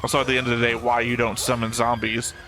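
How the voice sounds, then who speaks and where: A man's voice: mid-range voice, completely flat delivery, fast talker, bright, forceful tone, faint music behind. A man giving casual, wry and opinionated reaction commentary on a show. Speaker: anime reaction host.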